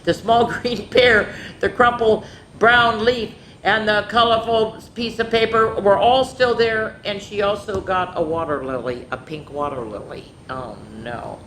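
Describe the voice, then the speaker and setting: Expressive woman storyteller in echoey room. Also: unhurried. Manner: expressive, drawing out words for children. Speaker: children's story-time host and reader. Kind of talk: animated picture-book read-aloud with casual asides. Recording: echoey room with faint rumbling noise.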